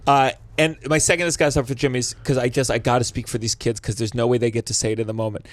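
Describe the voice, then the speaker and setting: A man hosting a podcast, chatting casually and comedically in an emphatic, animated way, with some swearing. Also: mid-range voice, fast.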